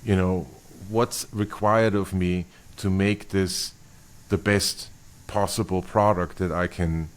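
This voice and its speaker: A middle-aged man from Austria, a bassist being interviewed, speaking thoughtfully with many restarts and fillers. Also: steady tone, takes it slowly, poor audio. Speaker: bassist guest. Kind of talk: interview conversation.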